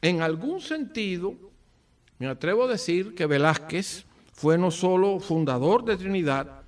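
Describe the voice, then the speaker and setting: A Cuban man with a Cuban accent giving a formal commemorative speech, solemn and rhetorical, with deliberate phrasing and dramatic pauses. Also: high-pitched, animated. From Cuba. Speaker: speaker at a book presentation. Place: event at an office venue. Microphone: far from the speaker. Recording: distant microphone.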